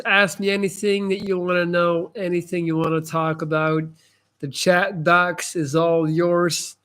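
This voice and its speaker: Man, slightly high voice, Dutch native from Belgium, American-sounding accent, casual livestream chat, emphatic, slangy. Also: fairly bright tone. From Dutch-speaking Belgium. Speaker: livestream host giving advice. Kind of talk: casual live chat, answering comments.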